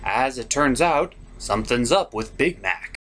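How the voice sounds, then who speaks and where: A man delivers a scripted, jokey commentary with theatrical sarcasm. His pitch jumps around in a lively way, and there is some faint noise in the background. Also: a little slow. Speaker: host of a cartoon episode review.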